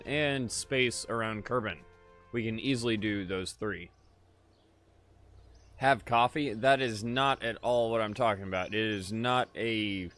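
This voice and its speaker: A man live-streaming a game in casual chat, tired and a little raspy, laid-back and swearing freely while talking to viewers. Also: on the low side, fairly bright tone. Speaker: gaming livestreamer.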